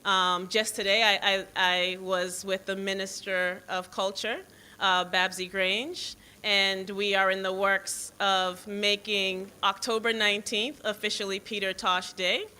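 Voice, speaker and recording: A woman in her forties with an American accent giving a public speech, pausing now and then to rephrase. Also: mid-range voice, flat delivery, bright, forceful tone, smooth. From Boston, United States, born in Jamaica. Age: 40s. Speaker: foundation president, guest speaker. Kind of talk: public speech, partly off the cuff.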